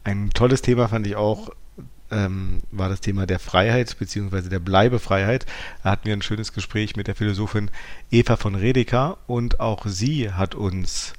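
A man with a fairly low voice talks as a podcast co-host. He is conversational and questioning, probing the ideas as he goes. The recording is dry, with hardly any room sound. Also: moderate pace, dry recording. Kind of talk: conversational discussion.

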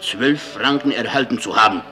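An actor playing a gruff, distrustful, bossy sailor in a German radio play, blunt and deliberate. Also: male voice, mid-range voice, pushed and bright, faint music behind, natural voice. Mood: bossy, exasperated.

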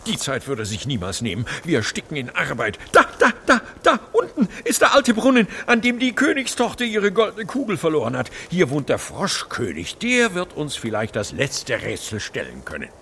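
An actor playing a fussy, excitable elderly fairy-tale writer in a children's radio play, given to stammering repeats. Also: male voice, fairly high pitch, normal speed, few pauses, slight background noise, full character voice. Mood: agitated. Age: older.

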